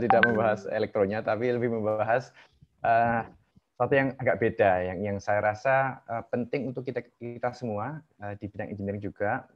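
A man politely moderating an online lecture in an informal way, speaking through a headset on a video call. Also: medium pitch. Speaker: moderator of a webinar. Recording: narrow, dull video-call audio.

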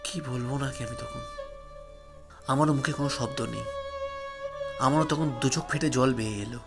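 A man's voice: medium pitch, moderate pace, loud music behind the voice. A man narrating a horror story in the first person, solemn, dramatic and emotive.

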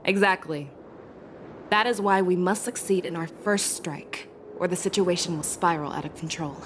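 An actress voicing a calm, commanding female general who lays out strategy in a measured, lecturing way for a game cutscene. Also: on the low side, a little hiss or hum, close to an everyday voice. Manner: measured, lecturing, hushed at times. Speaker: military general.

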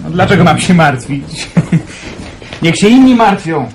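An actor playing a calm young man in love who talks earnestly and teases gently in a radio drama. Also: a little high, a little slow, background noise.

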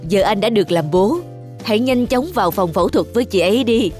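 A calm, earnest male surgeon in a cartoon drama, acted by a woman. The pitch sits in a middle range for a woman. Music runs underneath. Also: fairly quick, natural voice. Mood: earnest congratulation. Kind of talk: dubbed cartoon melodrama.

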